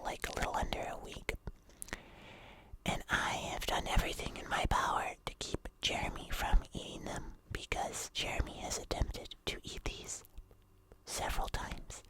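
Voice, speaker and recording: An ASMR host chatting casually to viewers in a soft, unhurried whisper with drawn-out phrases. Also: low-quality recording. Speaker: host of an ASMR video channel.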